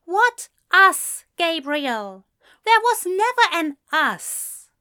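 A woman's voice: very high-pitched, sing-song, slow pace, bright, forceful tone, pitched above the performer's own voice, full character voice. A woman voicing an earnest, prim man in an audiobook story, with formal, precise phrasing and a firm, exasperated manner. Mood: strained patience.

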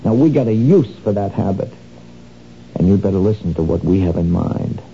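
A gravelly, sly blackmailer. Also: male voice, low-pitched, expressive, normal speed, warm tone. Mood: menacing. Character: smooth menacing male blackmailer, sly crook. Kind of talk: 1970s radio drama acting. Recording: dull lo-fi old radio recording, faint noise.

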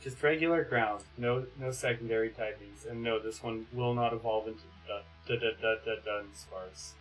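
A man running a tabletop game as game master, narrating casually in a halting, conversational way. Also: on the low side, fairly even intonation, faint music behind, poor audio.

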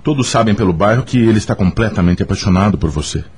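A man's voice: on the low side, lively intonation, fast, darkish tone, breathy edge. A man acting in a radio soap opera as a frank, supportive confidant, reassuring and matter-of-fact. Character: Carlos, frank, supportive male confidant giving advice.

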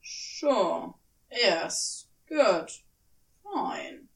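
A woman's voice: medium pitch, very animated, very slow, creaky, far from the mic, pitched below the performer's own voice, heavily acted. Woman as an offhand, wry male demon. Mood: brusque.

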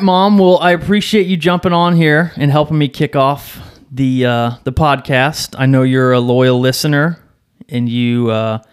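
A man's voice: medium pitch, clean tone. A middle-aged man hosting a podcast in a casual, chatty way. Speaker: podcast host. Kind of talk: casual chat.

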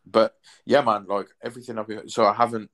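A man's voice: fairly low pitch, flat delivery, brisk, a bit bright. Rambling man in his thirties. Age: 30s. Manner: rambling, swears casually, many fillers. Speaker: podcast host. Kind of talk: casual chat.

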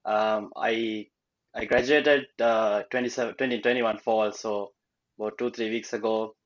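A man's voice: on the low side, steady tone, unhurried. A young man from Sri Lanka, a recent graduate, speaking politely as a podcast guest and hesitating with many ums. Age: young adult. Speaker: alumnus guest. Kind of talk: casual conversation. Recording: dull lo-fi sound.

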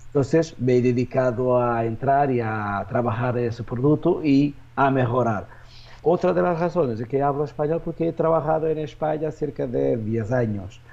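Portuguese man speaking halting Spanish. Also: mid-range voice, creaky, a little mellow, lo-fi sound. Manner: halting, with many restarts and fillers. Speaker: real estate agent. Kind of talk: interview answers.

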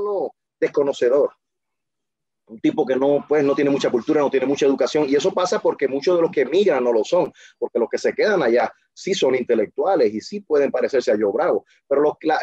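Hombre seguro de sí, voz oscura y ronca. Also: male voice, mid-range voice, dark tone, no echo. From Dominican Republic. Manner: emphatic, self-assured, full of restarts. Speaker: interview guest. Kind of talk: casual interview storytelling. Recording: muffled dry lo-fi sound.

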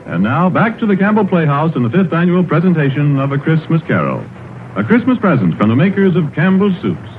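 A man's voice: on the high side, big pitch swings, fairly quick, phone-quality sound. A man announcing formally in a 1930s network radio style. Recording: thin 1930s radio, music behind.

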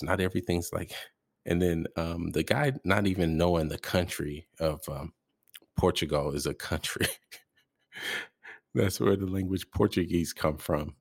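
Man, very deep voice, gravelly, dry close sound, rambling casual reaction talk. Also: no echo. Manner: rambling, hesitant, laughs often. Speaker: reaction podcast host.

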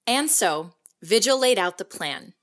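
A woman playing a documentary presenter, narrating a hero's career in a measured, reflective way for an audio drama. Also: mid-range voice, expressive, a bit bright. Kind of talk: scripted narration.

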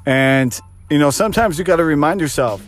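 A man's voice: a little high, animated, fairly quick, soft background music. Earnest thirty-year-old man giving a pep talk. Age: 30s. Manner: earnest pep talk, repeats phrases for emphasis. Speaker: fitness coach podcast host. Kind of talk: motivational solo podcast talk.

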